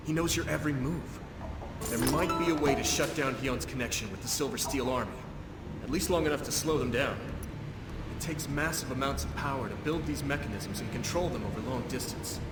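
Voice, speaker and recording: A man's voice: on the high side, natural voice. A man playing a remorseful cyborg war veteran in a video game, speaking in an earnest, solemn way. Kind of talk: scripted video game voice acting. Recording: very noisy, echoing game hall sound.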